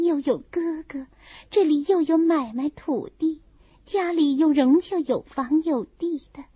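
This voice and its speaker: A woman acts out a frail noble girl in her teens, sensitive and melancholy. Her voice is very high and bright, confiding in a slow, plaintive way, as in an audiobook drama. The audio is a little dull. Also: dry recording. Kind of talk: dramatised audiobook reading of a classical novel.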